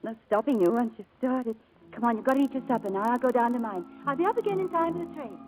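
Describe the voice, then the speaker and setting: Actress as an effusive young sweetheart, soft-toned. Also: female voice, on the high side, lively intonation, fast talker, natural voice, poor audio. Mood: brisk, fond. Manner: effusive, pleading, earnest. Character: devoted, tender, spirited young woman in love. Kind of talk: 1940s radio drama acting. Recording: old radio broadcast with music behind.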